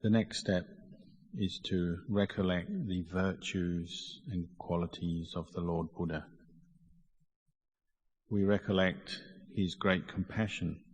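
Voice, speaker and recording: Very slow, low-voiced man guiding meditation. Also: fairly even intonation, breathy edge. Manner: measured, deliberate phrasing with pauses. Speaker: meditation teacher. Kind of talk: guided meditation instruction. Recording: long hall reverb.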